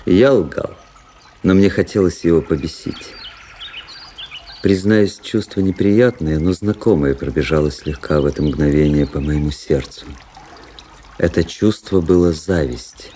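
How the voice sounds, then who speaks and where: Low, mellow gentleman narrator. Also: a little slow, slight background noise. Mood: detached, reflective. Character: cool, self-analysing young gentleman officer recounting his life. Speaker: narrator and lead character. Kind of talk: dramatic literary narration in a radio play.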